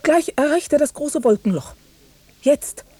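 An actress in a German radio drama playing an intimate, urging, uneasy woman biologist with an expressive delivery. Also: high voice, a little slow, no echo. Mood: tense.

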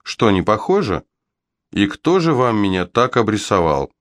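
A man's voice: on the low side, sing-song, moderate pace, no room sound, clean studio sound. A man voicing a self-assured, teasing young woman cellist in an audiobook, with frank delivery.

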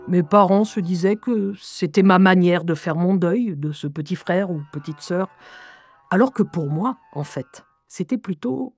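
An unhurried young woman played by an actress confides her memories over music. She is introspective and dryly ironic, narrating an audio drama in a deliberate way. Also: lowish voice, a little mellow. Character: wry, introspective young woman recalling her troubled childhood. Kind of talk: scripted first-person audio drama narration.

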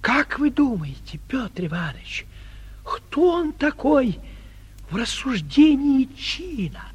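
An actor playing an awestruck, gossipy small-town gentleman, flustered and marvelling, in a theatrical 1940s radio play. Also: extremely high pitch, sing-song, takes it slowly, husky, highs cut off, exaggerated voice.

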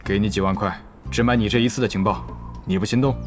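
一名男演员在广播剧里饰演一位警惕、精于算计、说话有威严的网游公会会长。. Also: male voice, fairly low pitch, steady tone, fast, music in the background, close to an everyday voice. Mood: suspicious, scheming. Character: wary, calculating male online game guild leader. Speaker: voice actor. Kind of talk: Chinese audio drama acting.

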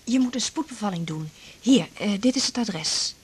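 A woman acting a busy, put-upon medical practice assistant in a radio drama, brisk and slightly husky. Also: mid-range voice, animated. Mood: brisk, puzzled.

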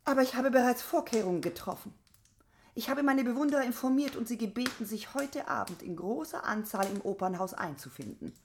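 Haughty, self-important prima donna. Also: female voice, a little high, lively intonation, fairly quick, a little hiss or hum. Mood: defiant. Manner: grand, indignant, self-assured. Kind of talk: German radio play acting.

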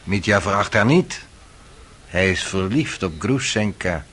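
A man's voice: fairly low pitch, measured pace, a bit bright, breathy edge. An actor playing a cynical, worldly young man in a radio drama, sly and needling in manner. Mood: mocking, insinuating. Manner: sardonic, insinuating.

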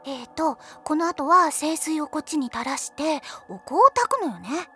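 High-pitched, pompous schoolgirl fortune-teller. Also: expressive, moderate pace. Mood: flustered, bossy. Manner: theatrical, pompous, salesy, easily flustered. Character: pushy teenage schoolgirl posing as mystic fortune-teller. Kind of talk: Japanese anime comedy audio drama acting. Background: background music.

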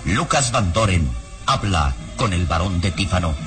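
A man's voice: deep voice, lively intonation, unhurried. A man performing as a solemn storyteller, giving dramatic scripted narration for an old radio mystery serial. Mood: solemn, atmospheric. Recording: old radio recording with music underneath.

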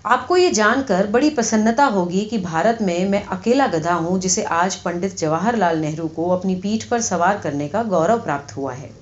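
A woman's voice: lowish voice, hardly any pauses, lightly acted. A woman reads as an earnest talking donkey from a satirical storybook. He speaks formally and philosophically, like a speechmaker, with mock pomp. The sound is slightly lo-fi. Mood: proudly announcing. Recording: slightly dull, lo-fi audio.

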